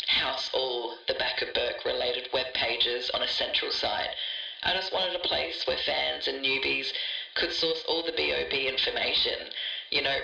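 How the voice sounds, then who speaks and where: A woman's voice: very deep voice, vocal fry, sharp tone. A woman in her forties reminiscing in a muffled, echoey, distant voice note. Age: 40s. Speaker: podcast contributor, computer programmer.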